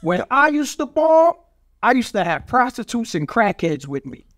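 A middle-aged man with a New York accent holds forth in casual podcast talk. His voice sits high for a man. He is brash and boastful, full of street slang and bawdy storytelling. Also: big pitch swings, moderate pace, fairly bright tone, no echo. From Brooklyn, New York. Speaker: guest, street graffiti artist. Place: small studio with foam panels. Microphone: studio mic on a boom arm.

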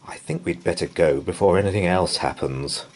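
A man's voice: deep voice, moderate pace, darkish tone, a little hiss or hum, natural voice. Man acting mild, hesitant storybook husband. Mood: obliging. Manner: polite, slightly nervous.

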